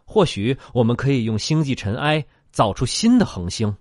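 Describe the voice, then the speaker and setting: A man acting in a sci-fi radio drama as a centuries-old future human, speaking in a measured, matter-of-fact way. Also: mid-range voice, very animated, darkish tone, no echo, studio quality. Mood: speculative. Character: far-future man aged 223, measured, unsurprised questioner.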